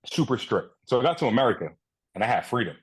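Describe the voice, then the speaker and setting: A man in his thirties from Guyana talks as a podcast guest with a husky, slightly rough voice. He speaks candidly and profanely, and pokes fun at himself. His pitch sits in the middle. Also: sing-song, quick pace. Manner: candid, profane, self-mocking. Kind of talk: casual podcast chat.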